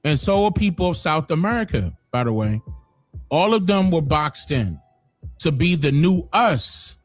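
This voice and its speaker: A man hosting a livestream, giving emphatic opinionated commentary that swings from loud exclamations to low muttering. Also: lively intonation, unhurried, faint music behind. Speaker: livestream host.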